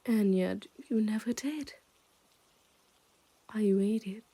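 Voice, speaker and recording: Slow, smug yandere kidnapper girl, acted by a woman. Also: medium pitch, warm tone, husky, exaggerated voice. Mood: possessive delight. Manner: slow, teasing, often dropping into whispers. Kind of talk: ASMR roleplay, intimate scripted monologue.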